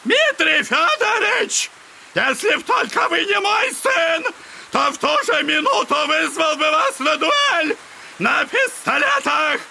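Ranting old father, radio drama, clownish, wildly swooping voice, gravelly edge, mock-pious, whining. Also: very high-pitched, a little hiss or hum, heavily acted. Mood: furious, mocking. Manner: theatrical, whining, mock-pious wailing and shouting. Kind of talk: Russian classic audio drama acting.

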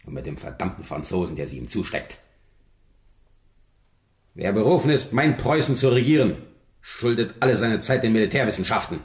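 A man's voice: on the low side, very animated, moderate pace, pauses often, roomy sound. An actor playing a blustering, vain, middle-aged king in a German radio play, imperious and volatile, swinging between muttering and outbursts. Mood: grim resolve.

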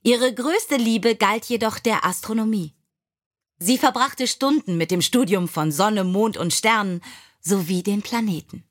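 A woman's voice: medium pitch, measured pace. Woman narrating a children's storybook. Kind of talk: scripted audiobook narration of children's biographical stories.

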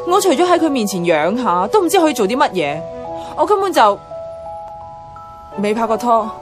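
An anxious single office woman in a Cantonese radio drama from Hong Kong. Her voice sits a little high, emphatic and plaintive, with a defensive edge. Music plays clearly behind her. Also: very animated, normal speed. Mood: defensive, upset. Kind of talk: radio drama dialogue with narration.

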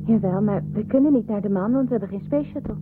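A woman's voice: mid-range voice, fast, music in the background, natural voice. A woman playing a cautious, worried young crew member aboard a spaceship in a science-fiction radio drama, hesitant and questioning. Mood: sceptical. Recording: phone-like band, loud noise behind.

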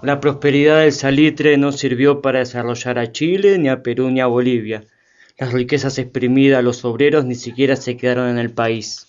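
A man's voice: mid-range voice, fairly even intonation, normal speed, darkish tone, clean tone, soft background music. A man delivering scripted dramatic narration for a radio production, on a slightly dull recording.

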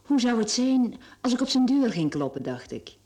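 An actress with a Flemish accent playing a teasing, flirtatious middle-aged mistress in a radio drama, coaxing and sly. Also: female voice, a little high, big pitch swings, normal speed, mellow. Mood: wistful, coaxing.

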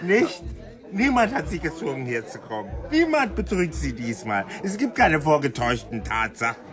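A sly Nigerian man in a radio play, taunting and insinuating. His pitch swings widely as he offers his dubious help. Music runs clearly behind him. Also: sing-song, normal speed. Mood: mocking, insinuating.

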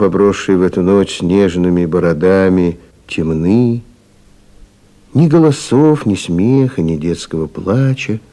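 A man narrating a literary radio play in a reflective, measured manner. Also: deep voice, dark tone.

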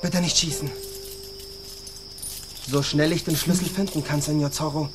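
Actor as a timid, servile jail keeper. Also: male voice, on the high side, steady tone, normal speed, loud music behind the voice, close to an everyday voice. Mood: frightened, pleading. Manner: fearful, servile. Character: timid, pleading lowly jail keeper at night. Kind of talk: German radio play acting.

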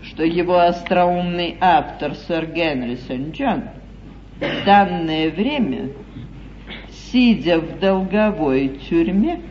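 Haughty duchess, deliberate and sweeping in pitch, mocking stage-comedy style, muffled old theatre broadcast. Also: female voice, darkish tone, echoey room, slight background noise. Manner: deliberate, theatrical, ironically polite. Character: haughty, cunning duchess, coolly mocking and triumphant. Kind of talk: classical stage comedy acting.

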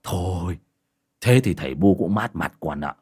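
Male narrator voicing a hot-tempered old rustic father, loud scolding, deep drawl. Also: lively intonation, moderate pace, husky. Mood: gleeful.

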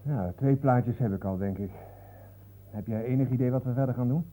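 An actor with a Netherlands Dutch accent playing a grumbling, flippant photographer in a radio play. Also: male voice, mid-range voice, brisk, warm tone, lightly acted. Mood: flippant, uneasy. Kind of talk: naturalistic radio drama dialogue. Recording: noisy, phone-like old radio recording.

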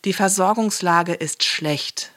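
A man's voice: high-pitched, slow, pushed and bright, full character voice. A man playing a pompous, formal policy speaker who warns rhetorically of economic doom in a satirical drama. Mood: grave, rhetorical. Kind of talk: satirical political speech.

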